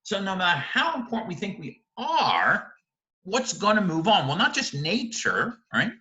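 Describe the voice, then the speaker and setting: A man's voice: sits high, huge pitch swings, moderate pace, bright, forceful tone, reverberant, dull audio. A man teaching literature in an informal video lecture, speaking emphatically and often checking in with rhetorical questions. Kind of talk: informal video lecture analysing a short story.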